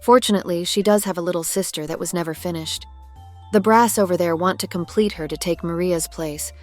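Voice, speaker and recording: Casting: woman playing veteran cargo plane lady in a cartoon, lowish voice, genteel and genial, faint music behind. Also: fairly quick, darkish tone, natural voice. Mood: wistful. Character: genial fifty-one-year-old female military cargo plane, gently teasing. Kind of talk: scripted character dialogue.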